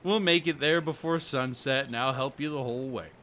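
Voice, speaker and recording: A man acts out a hospitable country fisherman in an audio roleplay. He has a bright, forward voice and speaks in plain folksy phrases with dropped g's. Some ambient noise sits behind him. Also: mid-range voice, a little fast, close to an everyday voice. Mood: hearty, helpful. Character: kindly rustic fisherman, folksy storyteller, hospitable.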